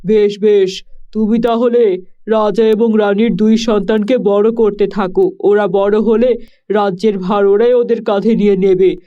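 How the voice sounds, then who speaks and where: A man voicing a kindly, generous village landlord in a cartoon, speaking in a warm, earnest way. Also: high voice, steady tone, measured pace, muffled recording.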